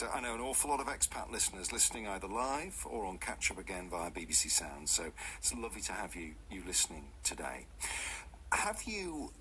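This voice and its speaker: A radio presenter, a man, talks with guests in a studio. His voice sits a bit low but comes across bright and forceful. He leads with friendly, open questions. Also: slight background noise. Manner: conversational, leading questions. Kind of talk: live radio interviewing.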